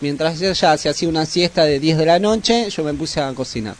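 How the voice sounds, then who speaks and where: Man, Argentine accent, high pitch, casual kitchen chat, colloquial host, affectionate diminutives. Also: a little fast, a little hiss or hum. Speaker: host of a youth culture radio cooking segment.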